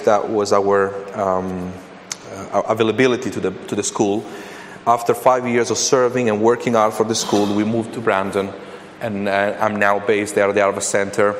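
Italian-accented man preaching, hesitant. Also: fairly low pitch. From Italy. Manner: hesitant, many fillers and restarts. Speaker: guest preacher. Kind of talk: sermon. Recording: reverberant hall with faint ambient noise.

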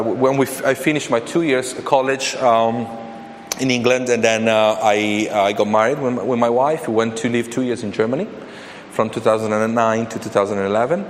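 A man from Italy with an Italian accent preaching a sermon as a guest speaker, speaking hesitantly with frequent fillers and restarts. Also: mid-range voice. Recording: reverberant hall with faint ambient noise.

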